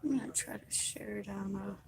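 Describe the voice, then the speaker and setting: A woman's voice: medium pitch, brisk, gravelly, background noise. A young woman chatting casually and musingly while live streaming a makeup session, rambling between thoughts. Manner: rambling, soft-spoken and musing.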